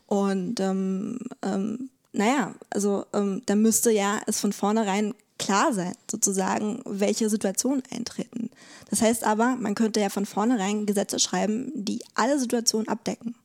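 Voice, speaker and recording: A woman's voice: mid-range voice, fairly even intonation, moderate pace, a little mellow. A woman explaining things as a podcast interview guest, conversational and often searching for words. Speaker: interview guest, legal expert.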